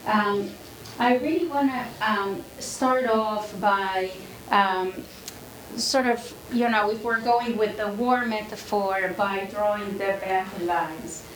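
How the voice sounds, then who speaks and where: A woman with an Israeli accent giving a public lecture as a professor at a podium, halting often with fillers. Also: mid-range voice, steady tone, a little hiss or hum. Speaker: guest speaker, professor. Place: university lecture hall. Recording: echoey lecture hall.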